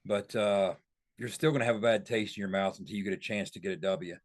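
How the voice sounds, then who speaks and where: A man's voice: on the low side, fast talker. A man giving conversational football analysis on a podcast, making his points emphatically.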